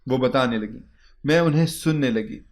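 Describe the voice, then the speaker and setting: A man with a medium-pitched voice reads a scripted story in the first person. He narrates it dramatically, as a storyteller. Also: moderate pace.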